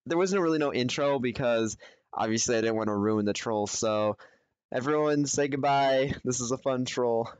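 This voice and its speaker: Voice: medium pitch, moderate pace, clean tone, no echo. A young person chatting casually and teasingly with friends on an online voice call. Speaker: video maker. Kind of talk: casual group voice chat.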